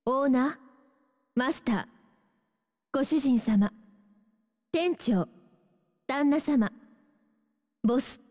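High-voiced courteous young shop girl. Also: slow pace, pauses often, darkish tone, in a large hall. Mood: courteous, inviting. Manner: courteous, neat short phrases. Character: polite young female shopkeeper. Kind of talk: Japanese video game shop greetings.

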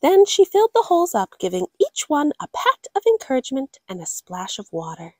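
A woman hosting children's story time, reading in a fairly high, very animated voice. Her phrasing is deliberate and dramatic, and the sound is dry and close. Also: no echo. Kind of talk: expressive picture-book read-aloud.

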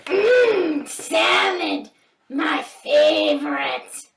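Very high-pitched, childlike puppet dinosaur. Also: female voice, animated, very slow, creaky, a little hiss or hum, exaggerated voice. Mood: excited, dramatic. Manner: exclamatory, dramatic. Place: room at home. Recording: roomy, distant home webcam sound.

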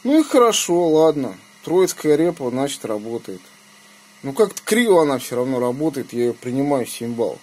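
A man with a slightly husky voice, chatting casually in slangy speech and giving call signs as an amateur radio operator, recorded indoors on a phone. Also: mid-range voice, very animated, normal speed, darkish tone. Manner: colloquial, slangy. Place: small room indoors beside radio equipment. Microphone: phone held near the radio.

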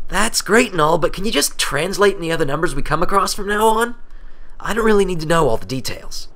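A brash young female pegasus from a storybook, acted out by a man. She is playful and impatient, pitched up from the narrator. Her talk is blunt and full of casual slang. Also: expressive, fairly quick, a bit bright.

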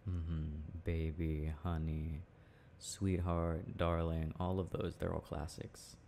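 Deep, slow, gravelly young man, softly intimate. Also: level tone, slight background noise. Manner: soft affectionate chat with frequent chuckles. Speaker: boyfriend roleplay audio performer. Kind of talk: intimate casual ASMR boyfriend roleplay.

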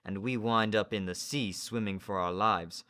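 A man's voice: lowish voice, steady tone, moderate pace, pushed and bright. Man as a gloomy, know-it-all chubby boy.

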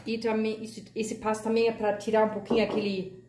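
A woman's voice: fairly high pitch, little pitch movement, fairly quick, a little mellow, reverberant. A woman talking through a home cooking recipe step by step, chatty and homey, from her kitchen. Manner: chatty, homey, uses diminutives and fillers. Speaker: vegan cooking channel host. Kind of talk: casual step-by-step cooking tutorial.

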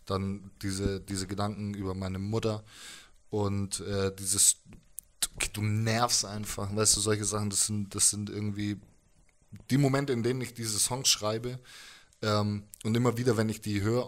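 Male musician, thirties, lowish voice, loose hesitant interview chat. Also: little pitch movement, moderate pace. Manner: hesitant, informal slangy talk. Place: living room with instruments, indoors. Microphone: handheld microphone held close.

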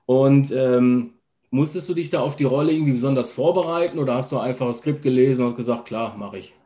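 Mellow-voiced male interview host. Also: medium pitch, fast. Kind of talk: casual interview questioning. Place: home room with shelves, indoors, video call.

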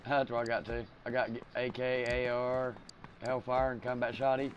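A man's voice: medium pitch, level tone, moderate pace, dull audio. A man chatting casually with a teammate while live streaming a video game, with slangy, swear-heavy talk. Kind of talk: casual gaming chat with a teammate. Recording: loud engine and game noise. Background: video game vehicle and ambience sounds.

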